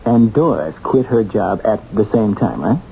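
A wry small-town newspaperman in an old radio drama. He is very dark and soft in tone with a heavy creak, asking casual, probing questions. The recording is dull with faint noise. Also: fairly low pitch, lively intonation, a little slow. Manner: casual, hesitant lead-ins, probing questions. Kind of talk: 1970s radio drama acting.

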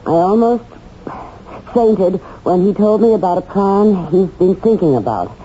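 Radio drama role, female actor: nervous excited diary reader, mellow mid-range voice, dull old recording. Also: mid-range voice, measured pace, a little hiss or hum, natural voice. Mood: reading aloud intently. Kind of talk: 1970s radio drama acting.

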